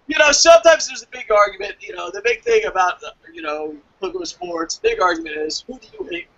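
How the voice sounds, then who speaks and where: A man's voice: sits high, sing-song, fast, vocal fry, a bit bright, poor audio. A man in casual, profane banter as co-host of a review show, ranting in exasperation and swearing often.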